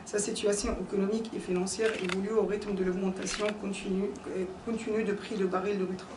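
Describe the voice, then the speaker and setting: A woman's voice: mid-range voice, level tone. A young woman giving a formal conference talk in French, which is not her first language, speaking hesitantly with frequent fillers and restarts. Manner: hesitant, many pauses and fillers. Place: conference hall. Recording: echoey hall, distant mic, background noise.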